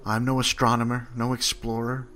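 A man's voice: medium pitch, monotone, moderate pace, pushed and bright, slight background noise, natural voice. A man voicing a lonely male bard among three wise kings, asking plaintive, searching questions.

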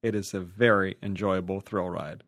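A man's voice: deep voice, steady tone, normal speed, fairly bright tone, dry recording, studio quality. A man co-hosting a podcast, bantering casually and without a script. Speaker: podcast co-host reading listener questions.

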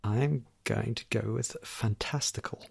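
A man's voice: on the low side, measured pace, gravelly, a little mellow, breathy edge. A man reasoning aloud in casual banter as a game show panelist.

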